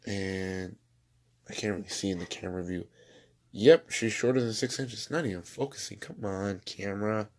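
A young man reviewing toys at home in a rambling, chatty way, his voice going hoarse. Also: lowish voice, moderate pace. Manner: rambling, chatty, self-correcting. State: losing his voice. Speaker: toy reviewer. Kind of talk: casual toy review voice-over.

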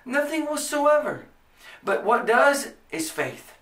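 A man's voice: very high-pitched, big pitch swings, slow, fairly bright tone, roomy sound, far from the mic. A man in his thirties with an American accent, preaching a podcast sermon with earnest emphasis. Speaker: pastor. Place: small indoor room before a church banner.

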